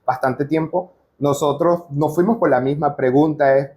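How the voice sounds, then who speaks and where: A man's voice: fairly high pitch, fairly even intonation, normal speed, darkish tone. A man hosting a casual interview, speaking informally and dropping in English business terms. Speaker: podcast host.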